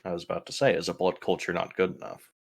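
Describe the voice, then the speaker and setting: A man's voice: low voice, level tone, fast, gravelly. A man playing in a tabletop roleplay, talking casually in character with a questioning, dryly wry manner.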